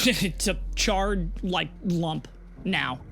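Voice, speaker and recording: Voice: medium pitch, extremely slow, gravelly, a bit bright, a little hiss or hum. A game master casually narrating a tabletop audio drama in descriptive, conversational language. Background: background music.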